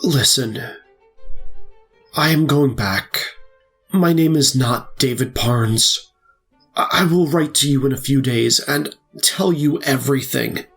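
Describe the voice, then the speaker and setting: A man playing a bewildered but resolute young fugitive in an audio drama, speaking gravely and earnestly. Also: medium pitch, slow pace, long pauses, a bit bright, faint music behind, heavily acted.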